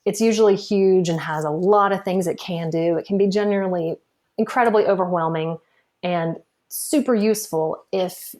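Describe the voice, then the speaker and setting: Chatty woman marketing consultant, lowish voice. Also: moderate pace, a little mellow. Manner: chatty, laughs often, restarts phrases. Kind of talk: casual interview conversation. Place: podcast recording.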